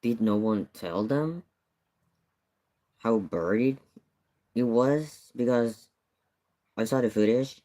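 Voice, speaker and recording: A man's voice: mid-range voice, slow, long pauses, dark tone. A man streaming live, reacting to news footage and firing pointed, disbelieving questions back at it.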